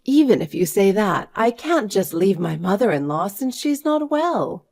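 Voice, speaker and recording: A woman voicing a caring but firm older grandmother, earnest and measured, in an acted family story. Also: medium pitch, very animated, gravelly, darkish tone, soft background music, exaggerated voice.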